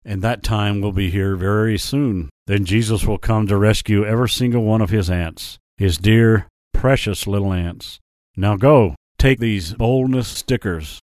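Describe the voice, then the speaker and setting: A man voicing a big, kindly male lion in a children's story, speaking in slow, earnest warnings. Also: fairly low pitch, darkish tone, no room sound, clean studio sound, close to an everyday voice. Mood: gentle solemn. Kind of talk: storybook character voice.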